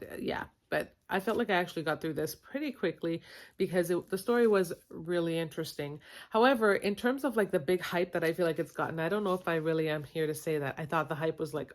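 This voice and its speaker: A middle-aged woman vlogging about books in a casual, rambling way, correcting herself and laughing at her own words, with a stuffed-up nose. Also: mid-range voice. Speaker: book vlogger. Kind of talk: casual chatty book review. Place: basement at home.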